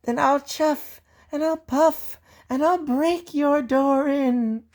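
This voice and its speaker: A storybook reader voicing a cheeky, playful young male tank engine with a high, squeaky voice. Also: slow pace, darkish tone. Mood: spooky. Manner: spooky wailing when playing the ghost.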